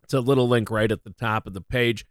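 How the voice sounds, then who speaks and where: A man's voice: mid-range voice, steady tone, quick pace, no echo, clean studio sound. A man hosting a financial radio show in a conversational style.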